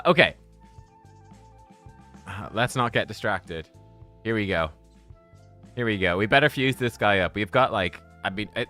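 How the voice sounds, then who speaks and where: A man chatting casually on a live game stream, relaxed and thinking out loud as he plays. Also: low voice.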